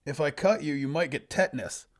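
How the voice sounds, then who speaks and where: A cloaked loner hero of a storybook, performed by a man, speaking up loud and a little high. He is sure of himself and taunts his enemies. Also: a little fast, natural voice. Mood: taunting. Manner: confident, taunting. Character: lone cloaked swordsman, confident male wandering hero.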